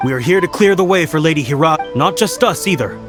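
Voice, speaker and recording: An actor playing a dutiful, courteous guard soldier in a video game, speaking in an official, reassuring way. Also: male voice, a little high, lively intonation, fast, lightly acted. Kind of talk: scripted video game dialogue acting. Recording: background music under the voice.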